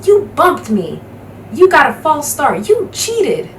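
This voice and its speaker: A woman's voice: high voice, wildly swooping pitch, slow, pitched above the performer's own voice, full character voice. A woman voicing an indignant boy racer in a storybook, a sore loser who protests and accuses. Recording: roomy, distant microphone with faint noise.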